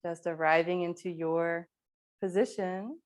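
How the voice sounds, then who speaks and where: A woman teaching yoga, giving calm, unhurried and gently encouraging guidance through movement and breathing. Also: fairly low pitch. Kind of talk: guided slow movement and breathing instruction.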